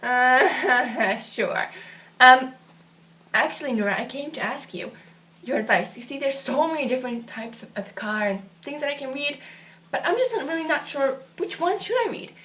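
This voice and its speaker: Brief: woman voicing a meek little boy puppet, quiet, hesitant, high and bright. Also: expressive, normal speed, recorded from a distance. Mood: gentle. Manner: soft-spoken, hesitant, polite. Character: gentle, modest young boy puppet, her brother. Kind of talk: children's puppet show.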